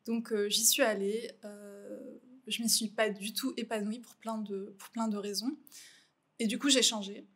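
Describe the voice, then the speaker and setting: A woman's voice: mid-range voice, steady tone, a little slow, breathy edge. A woman from Normandy with a French accent, speaking as a podcast guest in a casual, reflective and explanatory way, often rephrasing as she goes. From Normandy, France. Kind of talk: casual conversational interview.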